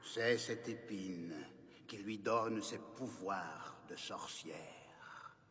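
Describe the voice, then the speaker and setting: A man voicing a wise old grandfather in an animated film, kindly and solemn, explaining patiently in a husky, breathy voice. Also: sits low, extremely slow, exaggerated voice. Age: older.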